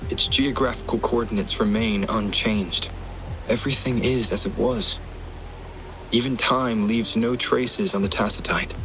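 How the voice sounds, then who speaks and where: An actor voicing a solemn, enigmatic man in a video game, speaking gravely and deliberately. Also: lowish voice, fairly even intonation, fairly bright tone, a little hiss or hum, rough recording. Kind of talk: dramatic scripted game narration. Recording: music behind the voice. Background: music.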